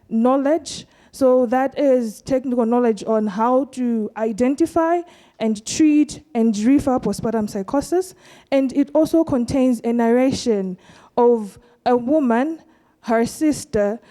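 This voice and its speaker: Malawian woman, mellow voice, earnest presenter. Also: fairly high pitch. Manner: earnest and explanatory, pauses often with "um". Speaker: medical researcher presenting a study. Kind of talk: conference presentation.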